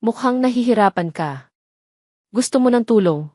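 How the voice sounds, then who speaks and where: A woman's voice: fairly high pitch, lively intonation, normal speed, a little mellow, no echo, studio quality. Woman as a caring, helpful young man. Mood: concerned, friendly. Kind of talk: dramatic audio story dialogue.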